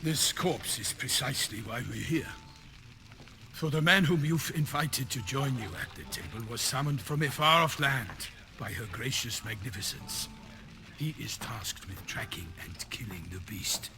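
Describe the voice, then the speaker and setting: A man's voice: medium pitch, a little slow, natural voice. A man playing a proud, theatrical knight errant in a fantasy video game, speaking in flowery, formal, archaic phrases. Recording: music playing behind.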